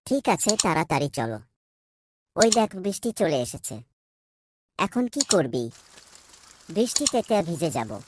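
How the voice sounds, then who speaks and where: Woman voicing young farm boy, cartoon, daring, low-pitched for a child, swooping intonation. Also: big pitch swings, measured pace, pauses often, noisy background. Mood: urgent. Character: village schoolboy, bold, hardworking son of a poor farmer.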